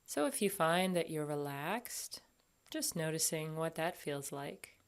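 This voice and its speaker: A woman guiding a meditation as an instructor, speaking gently and without hurry, leaving long pauses. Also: low voice, expressive, clear, resonant tone. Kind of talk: guided body scan meditation.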